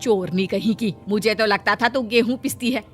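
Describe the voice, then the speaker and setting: A woman's voice: medium pitch, normal speed, faint music behind, lightly acted. A woman playing a contemptuous, berating sister-in-law in a Hindi cartoon story. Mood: angry, scolding. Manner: sharp, berating.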